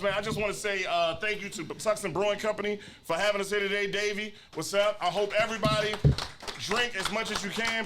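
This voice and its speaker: A man's voice: high-pitched, background noise. A man in his forties hosting a live podcast chat, upbeat and joking, thanking everyone. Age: 40s. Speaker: podcast host. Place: brewery taproom with audience.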